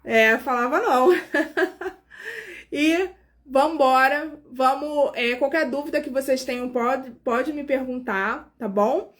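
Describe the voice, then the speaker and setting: A woman's voice: high-pitched, takes it slowly. A woman, hosting a casual live stream as a nurse and chatting directly with her viewers, sounding tired. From Brazil. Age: 40s. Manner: chatty, warmly addresses viewers directly, frequent fillers.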